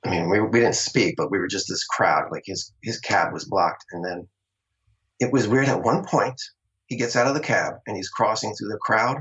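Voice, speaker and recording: A man's voice: fairly low pitch, moderate pace, fairly bright tone. A middle-aged man from Long Island telling stories about his acting start in a casual interview, chatty and laughing often. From Long Island, New York. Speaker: interview guest, actor. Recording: dull, lo-fi sound.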